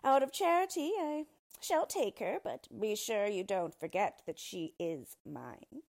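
A woman's voice: mid-range voice, animated, gravelly, a little mellow. Woman putting on a smug spiteful dwarf. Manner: disdainful, smug and drawn-out. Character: ugly spiteful yellow male dwarf, boastful.

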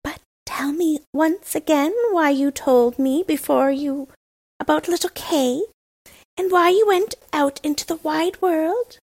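Storybook robber girl, blunt and wild, high pitched, as a woman puts her on. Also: unhurried. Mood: brash. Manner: blunt, brash, high and loud.

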